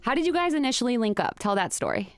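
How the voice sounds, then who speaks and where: A woman hosting a radio interview in a studio, bubbly and quick to laugh. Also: a little high, wildly swooping pitch, dry recording. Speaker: radio host.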